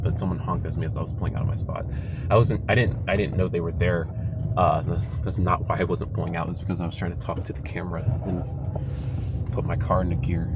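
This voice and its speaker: A young man with a very low, slightly congested voice talks in a loose, casual vlog style. He is recorded inside a car, with plainly audible vehicle noise around him. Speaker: vlogger. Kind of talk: casual vlog chat to camera. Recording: noisy car interior with engine hum. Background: car and road noise.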